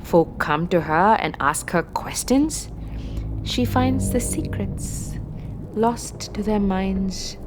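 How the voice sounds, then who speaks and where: A woman playing an eccentric, ageless fortune teller witch who speaks in a cryptic, wry, halting way in a fantasy audio drama. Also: medium pitch, expressive, music in the background. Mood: cryptic, musing. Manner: speaks of herself in third person, halting and cryptic.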